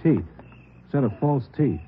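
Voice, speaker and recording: Laconic male cop at work acted, folksy and businesslike, mid pitch, dull vintage radio sound, slight noise. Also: lively intonation, measured pace, close to an everyday voice. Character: plain, businesslike male police digger. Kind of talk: 1940s radio acting. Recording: dull 1940s radio recording, faint noise.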